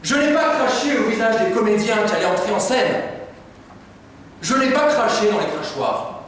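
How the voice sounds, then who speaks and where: A man's voice: high-pitched, big pitch swings, normal speed, a bit bright, slight background noise. A young man, a drama student, reciting an insistent, repetitive confession on stage. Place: theatre stage. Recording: echoey hall, distant mic.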